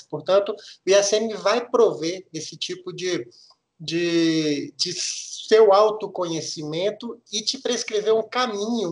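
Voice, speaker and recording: A middle-aged man from Minas Gerais with a mineiro Brazilian accent, explaining a topic in a podcast interview with measured, hesitant restarts. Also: high-pitched. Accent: Minas Gerais Brazilian Portuguese. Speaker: guest auditor.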